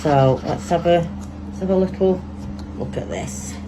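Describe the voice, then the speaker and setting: A woman with a Manchester accent and a lowish voice talks her way through a hands-on resin tutorial. She chats easily and thinks aloud as she works. Steady background noise and passing traffic sit under her voice. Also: unhurried. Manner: chatty, thinks aloud while working. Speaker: resin crafter demonstrating.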